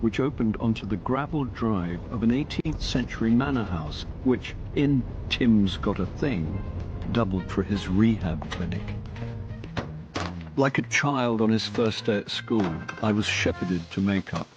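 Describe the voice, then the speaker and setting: An actor playing a wry, nosy middle-aged British amateur detective in a radio drama, speaking and narrating in a dry manner. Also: male voice, lowish voice, expressive, measured pace, muffled recording, music in the background. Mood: wry, reflective. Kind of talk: radio drama acting with first-person narration.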